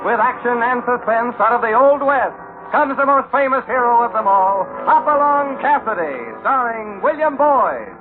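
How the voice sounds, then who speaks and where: A man's voice: very high-pitched, lively intonation, moderate pace. An American man announcing an old-time radio serial in a grand, declamatory style. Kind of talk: 1940s-50s dramatic radio announcing. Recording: tinny old radio recording with loud music. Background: loud music.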